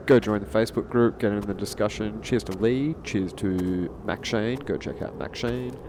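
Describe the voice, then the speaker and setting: A man with a fairly low voice talks casually as a podcast host, slangy and laid-back. Clear outdoor urban noise with traffic runs behind him. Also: normal speed. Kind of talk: casual sweary sign-off to listeners.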